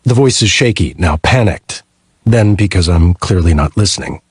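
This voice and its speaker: A man's voice: deep voice, animated, moderate pace, husky, no echo, clean studio sound. A man narrating a first-person thriller audiobook from a script.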